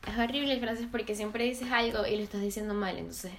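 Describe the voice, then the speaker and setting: Curious woman with a gravelly edge. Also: fairly high pitch, slight background noise. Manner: curious, quick with questions. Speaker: host. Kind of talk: casual chat.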